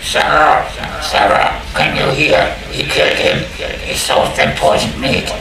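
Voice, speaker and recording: An actor playing a ghoulish, gleeful horror storyteller in an audio drama, theatrical, drawling and taunting. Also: male voice, rumbling low, sing-song, measured pace, vocal fry, heavily acted. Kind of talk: horror anthology narration. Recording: roomy echo, distant, music underneath.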